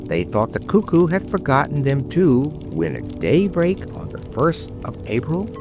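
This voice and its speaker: Animated male storyteller over music. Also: a little high, unhurried, creaky. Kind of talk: dramatic scripted bedtime story narration. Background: background music.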